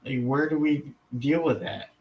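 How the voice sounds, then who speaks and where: A man in his thirties, a guest in a casual live chat, talking in an animated, stop-start way with the odd casual swear. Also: a little high, a little slow, distant mic, dull audio. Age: 30s.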